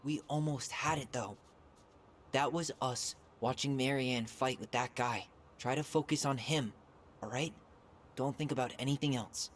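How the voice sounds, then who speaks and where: Young male game lead man acting, mid-pitched, insistent, haunted, naturalistic delivery; noisy capture. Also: normal speed, lightly acted. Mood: shaken, pressing. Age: young adult.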